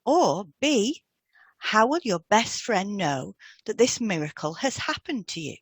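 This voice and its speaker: A woman co-hosting a podcast as a hypnotherapist, reading a script with measured, deliberate phrasing. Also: medium pitch, very animated, husky, dry recording, studio quality.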